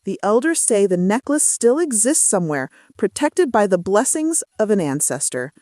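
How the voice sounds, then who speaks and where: A woman narrating a scripted folktale as a storyteller, with dramatic, measured phrasing. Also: medium pitch, very animated, warm tone, no echo, studio quality.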